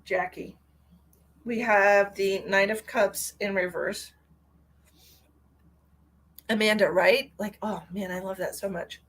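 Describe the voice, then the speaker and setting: A woman, congested, chatting warmly with viewers during a live tarot reading. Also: medium pitch, steady tone, slow pace, long pauses. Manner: chatty and affectionate, addresses viewers directly. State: stuffy, congested. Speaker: psychic tarot reader.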